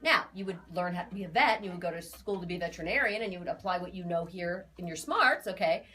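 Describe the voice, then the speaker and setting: A woman's voice: on the low side, expressive, fast, sharp tone. A woman in her forties giving an informal spoken reading to clients, chatty and emphatic, repeating key phrases. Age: 40s. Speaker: aura reader.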